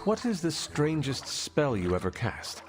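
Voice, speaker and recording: An actor playing a stoic legendary warrior in a video game, speaking in a stiff, formal manner. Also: male voice, fairly high pitch, huge pitch swings, unhurried, music in the background. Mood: measured, inquisitive. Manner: stiff, formal, avoids contractions. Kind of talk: video game cutscene acting.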